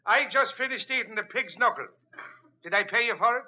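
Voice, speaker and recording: A man's voice: very high-pitched, a little fast, pushed and bright, full character voice. An actor playing a blustery, sly Irish policeman with mock-official insistence in a 1940s radio comedy. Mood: slyly insistent. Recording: slightly noisy old radio broadcast.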